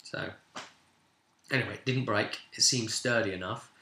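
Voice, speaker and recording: A man's voice: lowish voice, normal speed, vocal fry, bright, forceful tone. A man casually retelling a tabletop role-play session as game master, rambling and informal, slipping into bits of dialogue. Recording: echoey room.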